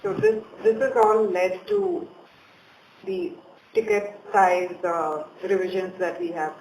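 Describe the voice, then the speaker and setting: A woman's voice: mid-range voice, expressive, slow, darkish tone. A woman speaking as a company executive, explaining an answer on a conference call. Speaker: bank executive answering questions. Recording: echoey conference call, clearly noisy.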